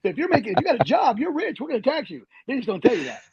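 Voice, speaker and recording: A middle-aged man, an Army veteran, telling long rambling stories in an animated way as a podcast guest. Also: very high voice, very fast, gravelly, slightly rough, lo-fi sound. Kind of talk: storytelling interview.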